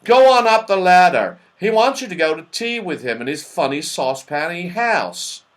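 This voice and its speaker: A man's voice: a little high, big pitch swings, normal speed, a bit bright, voice pushed up. A man plays a round-faced, friendly moon man from a children's storybook. He is bossy and emphatic, with a raised voice that stretches the key words. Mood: brisk.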